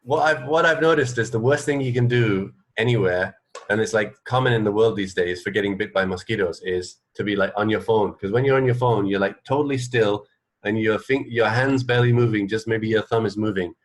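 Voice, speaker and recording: A man co-hosting a radio show, chatting casually in an informal, halting way. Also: fairly low pitch. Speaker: radio co-host. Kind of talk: casual chat.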